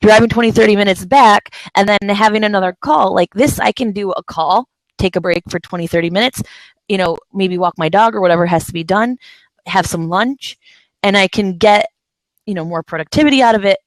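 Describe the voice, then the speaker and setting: A woman's voice: medium pitch, a little fast, no room sound, rough recording. A woman from the San Francisco area hosting a casual video chat, talking in loose, rambling sentences full of fillers. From San Francisco Bay Area. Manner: rambling, many fillers and restarts. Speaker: host of a live video chat.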